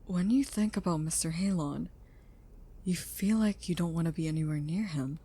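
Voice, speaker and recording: A woman playing a caring, protective scientist in an audio roleplay, speaking gently and earnestly in a slightly husky voice. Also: lowish voice, normal speed. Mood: concerned. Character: caring female scientist, protective creator of a sentient robot.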